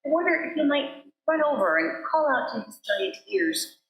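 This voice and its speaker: A woman's voice: sits high, very animated, moderate pace, fairly bright tone, pitched above the performer's own voice, exaggerated voice. A woman voicing a small male mouse who is trapped and politely, desperately pleading, in a children's storybook style. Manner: pleading, exclaiming. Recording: roomy sanctuary echo.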